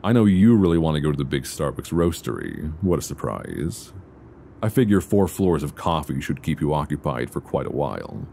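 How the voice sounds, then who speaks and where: Man acting as very deep-voiced, affectionate boyfriend. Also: very animated, vocal fry, exaggerated voice. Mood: cheerful, attentive. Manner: soft teasing, unhurried, conversational with hesitant pauses. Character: affectionate, playful boyfriend on a luxury flight. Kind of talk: intimate ASMR boyfriend audio roleplay. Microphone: close binaural microphone. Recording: close binaural recording, faint background noise.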